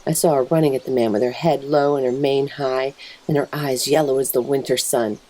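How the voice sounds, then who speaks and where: A woman voicing an anguished, plainspoken mother wolf in a dramatic first-person story reading, grave and emotive, with a husky, breathy voice. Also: extremely low pitch, steady tone, a little fast, mellow, slight background noise, poor audio. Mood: tense, grim. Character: mother wolf telling of her husband's horrifying change, anguished, plainspoken.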